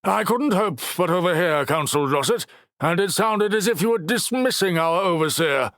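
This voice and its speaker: A man voicing a loud, glowering character who speaks in a booming, confrontational way. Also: expressive, moderate pace, no echo, studio quality.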